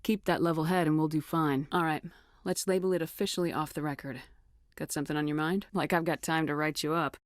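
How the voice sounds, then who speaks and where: A woman's voice: low-pitched, fairly even intonation, fairly quick, no echo, clean studio sound, natural voice. A woman playing a confident female military starship commander in a video game, with assured, clipped, dryly teasing authority. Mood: firm, composed. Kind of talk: scripted video game dialogue.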